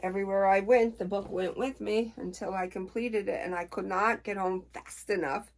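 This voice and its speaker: A middle-aged woman chatting casually to camera about books, unhurried and folksy, with a playful, expressive way of talking. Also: mid-range voice, rough recording. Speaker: book reviewer on a personal channel.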